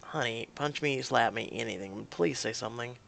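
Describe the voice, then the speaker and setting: A narrator voicing a desperate, apologetic young man who pleads and defends himself haltingly, in an audio drama. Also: medium pitch, gravelly, a bit bright, voice pushed down. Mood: pleading, desperate.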